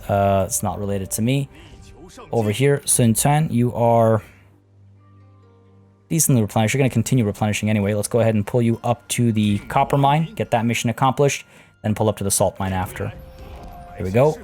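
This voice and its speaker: A relaxed man with a low, warm voice walks through his strategy game turn by turn. He sounds slightly hoarse, as if losing his voice. Faint game music plays quietly behind him. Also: moderate pace, long pauses. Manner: relaxed, thinking aloud with many fillers. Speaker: let's play host. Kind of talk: casual gameplay commentary.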